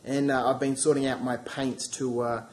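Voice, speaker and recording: A man with a cold and a croaky voice, casually talking through his hobby work with many fillers and restarts. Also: mid-range voice, flat delivery, moderate pace, a little hiss or hum. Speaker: hobby channel host. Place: home hobby studio.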